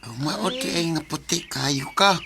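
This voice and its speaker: A man's voice: a little high, animated, unhurried, background noise. An actor playing Lotlot, a cheerful village youth who jokes with his friends in a radio drama. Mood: friendly. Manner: friendly and persuasive, bantering.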